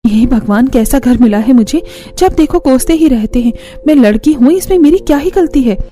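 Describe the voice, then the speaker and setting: A woman's voice: high-pitched, animated, normal speed, warm tone. A woman voicing an aggrieved twenty-year-old daughter, pleading and protesting in a family cartoon. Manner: plaintive, protesting. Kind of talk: Hindi family cartoon voice acting.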